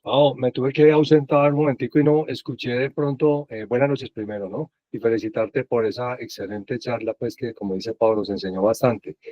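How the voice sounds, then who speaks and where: A man's voice: mid-range voice, fairly even intonation, moderate pace, few pauses, dry recording, muffled recording. Polite man asking a question. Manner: polite, courteous. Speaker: audience member asking a question.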